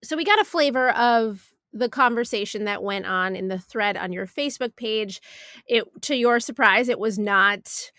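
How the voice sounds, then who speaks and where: A woman's voice: a little high, normal speed, bright, forceful tone, no echo, studio quality. A woman hosting a podcast interview, asking long, casual questions with conversational asides. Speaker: podcast host interviewing a guest.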